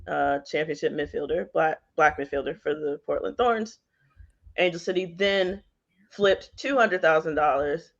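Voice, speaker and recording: Woman from virginia co-hosting a podcast, casual wry talk, slightly dull lo-fi mic. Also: deep voice. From Virginia, United States. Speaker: podcast co-host.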